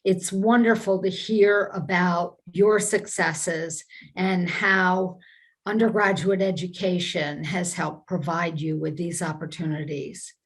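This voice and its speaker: A woman hosting a virtual event on a video call, reading measured, gracious emcee remarks. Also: lowish voice, slightly rough. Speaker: event host. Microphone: video call mic.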